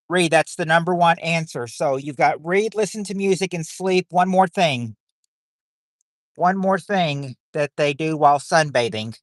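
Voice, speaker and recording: A man's voice: fairly high pitch, fairly bright tone, no echo, clean studio sound. A man hosting a livestream of a quiz game, rambling as he reads chat and greets viewers.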